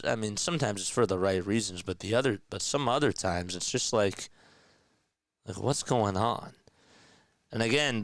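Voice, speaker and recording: Man, casual live basketball play-by-play, slightly raspy and nasal from a sore throat, smooth resonant tone, dry close mic. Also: on the low side, a bit bright, dry recording. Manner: conversational, reads and answers chat comments. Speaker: play-by-play commentator.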